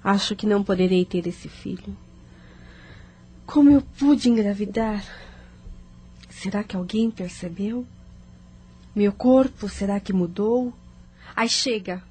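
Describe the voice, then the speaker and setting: A young woman playing an anxious, troubled daughter in a radio drama, with plaintive, expressive delivery. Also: mid-range voice, slow, pauses often, husky. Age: young adult.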